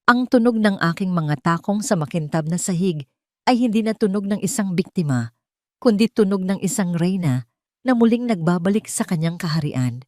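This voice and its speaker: A woman narrating a dramatic first-person story in a solemn, deliberate storytelling manner. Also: on the low side, lively intonation, warm tone, no echo, clean studio sound.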